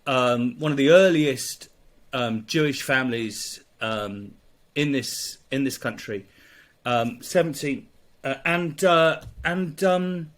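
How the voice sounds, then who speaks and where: A middle-aged English man, a priest, telling a personal story in conversation, halting with many fillers and restarts. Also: mid-range voice. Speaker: priest, guest.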